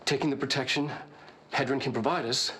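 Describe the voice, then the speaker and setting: A man playing an earnest scientist in a video game, gravely explaining a threat. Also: medium pitch, steady tone, brisk, sharp tone, natural voice. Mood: urgent, grave. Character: earnest male scientist warning of an alien threat. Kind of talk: video game acting, recorded briefing. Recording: clear noise behind the voice.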